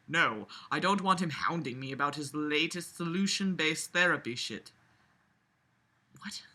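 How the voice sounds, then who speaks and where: A woman hosts a read-aloud with a very bright, forceful voice. She moves between the text and her own casual commentary, which is sarcastic, exasperated and freely foul-mouthed. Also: deep voice, animated, a little slow. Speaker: host reading a novel aloud with commentary.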